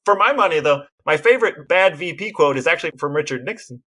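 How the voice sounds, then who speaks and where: A man co-hosting a podcast, telling historical anecdotes in a casual, animated storytelling manner. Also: a little high, fast talker. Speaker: podcast co-host.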